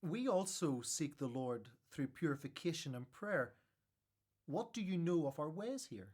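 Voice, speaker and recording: A man playing a wise, welcoming religious elder in a radio drama, measured and gently authoritative. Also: on the high side, expressive. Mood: kindly, solemn.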